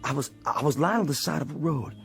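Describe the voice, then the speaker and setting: An actor playing a war-weary, decent Confederate sergeant in a radio drama, with a Southern American accent and a folksy, hesitant, earnest manner. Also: male voice, a little high, animated, quick pace, gravelly. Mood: urgent, pleading. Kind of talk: dramatic radio play acting. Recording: studio radio drama over faint music.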